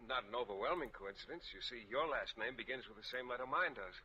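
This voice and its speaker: A man's voice: mid-range voice, lively intonation, fast talker, dull audio, crowd noise, lightly acted. An American man playing a naive, pedantic, bashful biology teacher in an old radio comedy. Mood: earnest, oblivious. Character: bashful, oblivious, literal-minded male biology teacher. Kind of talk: 1940s-50s American radio comedy acting. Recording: old radio recording, audience behind.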